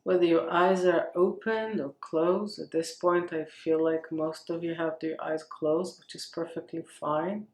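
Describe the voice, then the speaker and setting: Slow, even-toned woman guiding meditation in echoey room. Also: low-pitched. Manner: unhurried, gentle phrasing with long pauses. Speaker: meditation guide. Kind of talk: guided meditation. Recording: roomy, echoing space.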